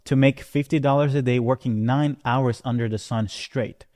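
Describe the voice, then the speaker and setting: A man's voice: medium pitch, steady tone, moderate pace, a little mellow. A man from Rwanda who moved to Canada as a teenager, an entrepreneur giving a conversational, emphatic motivational talk that often checks in with the listener. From born in Rwanda, moved to Canada at thirteen. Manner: conversational, emphatic, punctuated with check-in questions like "right?". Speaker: entrepreneur presenting. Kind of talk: motivational life-story talk to camera. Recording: long hall-like reverb.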